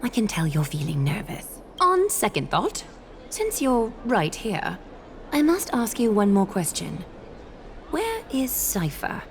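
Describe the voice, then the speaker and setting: A woman's voice: medium pitch, wildly swooping pitch, measured pace, slight background noise. A woman voicing a cold, shrewd demigod noblewoman in a video game, formal, commanding and dryly sardonic. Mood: stern, suspicious. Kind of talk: dramatic game character voice acting. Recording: music under the voice.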